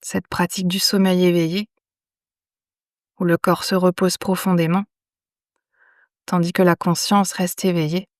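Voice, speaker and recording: A woman leads a guided relaxation in a fairly low voice. She speaks very slowly and soothingly, leaving long pauses between phrases. The sound is dry and close, with very little room in it. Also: little pitch movement, no room sound. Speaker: yoga nidra guide. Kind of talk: guided meditation.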